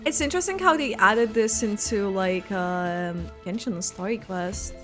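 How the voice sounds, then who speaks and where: A woman giving casual, halting gameplay reaction commentary, thinking aloud as she goes. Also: mid-range voice. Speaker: game streamer reacting. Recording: game music behind the voice.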